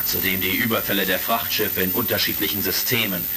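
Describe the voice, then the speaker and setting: Gravelly, resolute space commander. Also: male voice, low voice, steady tone, brisk, noisy background. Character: resolute male space commander, shrewd hero. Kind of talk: retro sci-fi audio drama acting.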